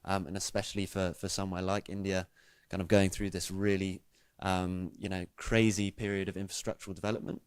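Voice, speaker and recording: A man from the United Kingdom talks with enthusiasm on a panel, scattering ums through his speech. His voice is very deep and stays flat in pitch. The recording is dry and close. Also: a little fast, smooth, dry recording. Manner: enthusiastic, filler-heavy with many ums. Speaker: panel guest. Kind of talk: panel comment.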